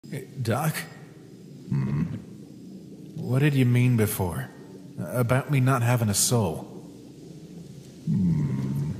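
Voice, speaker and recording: A man's voice: mid-range voice, background noise. A man playing a naive, timid young monster test subject, hesitant and childlike, in a dramatic comic dub. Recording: long reverb, music behind.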